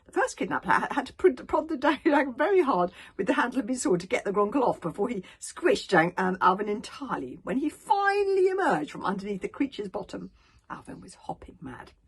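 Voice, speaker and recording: A woman reading a children's story aloud with animated expression, now and then stumbling over words. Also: medium pitch, fairly quick, slightly rough. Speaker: author reading own book.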